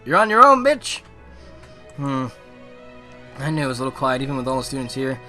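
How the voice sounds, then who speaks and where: A man live-streaming a game, giving excitable, casual, slangy commentary and reading on-screen text aloud. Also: mid-range voice, fairly quick, soft background music.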